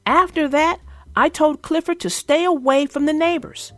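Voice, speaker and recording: Storybook young girl played by a woman, earnest and expressive, high voice, dry sound, telling a children's tale. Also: normal speed, no echo, faint music behind, close to an everyday voice. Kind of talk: children's storybook read-aloud.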